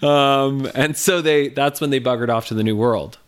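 A man with a smooth, resonant voice at a middling pitch. He explains at length in a wry tone and curses now and then for emphasis. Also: moderate pace. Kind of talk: casual conversation.

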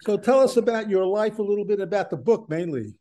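High, mellow-voiced male host. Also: expressive, a little fast. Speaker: host moderating a group. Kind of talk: casual moderating.

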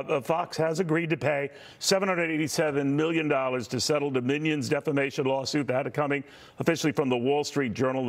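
A man anchoring a live breaking news report, halting often with fillers. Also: mid-range voice.

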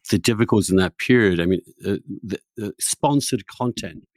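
A man hosting a conversational interview as a journalist, opinionated and emphatic, with halting restarts. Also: lowish voice, darkish tone, dry recording. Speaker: podcast host, journalist.

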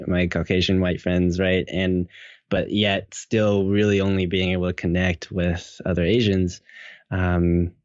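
Young man, low voice, dull lo-fi sound. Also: dry recording. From Seattle, United States. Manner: relaxed, hesitant, many fillers and restarts. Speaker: guest. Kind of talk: casual interview chat. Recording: dull, lo-fi audio.

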